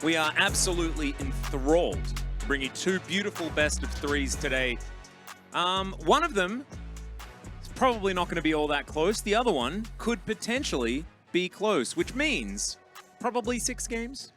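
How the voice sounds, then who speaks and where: A man's voice: sits high, big pitch swings, normal speed, a bit bright, faint music behind. A young Australian man casting esports with a loose, jokey, conversational delivery. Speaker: esports caster. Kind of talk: casual esports pre-match commentary.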